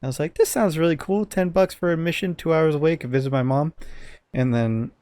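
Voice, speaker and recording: A man's voice: fairly high pitch, brisk, darkish tone, clean tone, no room sound. A man chatting casually as a podcast co-host, telling anecdotes in a rambling, informal way with some swearing. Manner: rambling, informal, profane at times.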